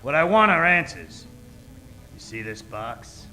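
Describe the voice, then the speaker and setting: An actor playing a blunt, impatient, wisecracking private detective in an old-time radio noir parody. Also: male voice, a little high, big pitch swings, unhurried, pushed and bright, faint music behind, full character voice, low-quality recording. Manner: blunt, impatient, hard-boiled.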